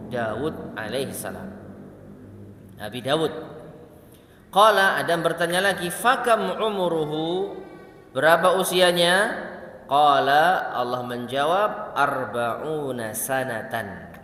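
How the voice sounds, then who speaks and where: A man preaching at a religious study session. He recites Arabic lines slowly and then explains them in quick asides to the congregation. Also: medium pitch, sharp tone, reverberant, in a large hall. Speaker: Islamic preacher.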